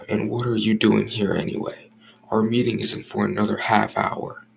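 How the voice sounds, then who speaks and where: A man playing a stern, jealous, irritable office boss in an audio roleplay, curt and guarded, with a gravelly voice. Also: lowish voice, little pitch movement, a little slow, background noise. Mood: irritated, stern. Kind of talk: scripted audio roleplay acting.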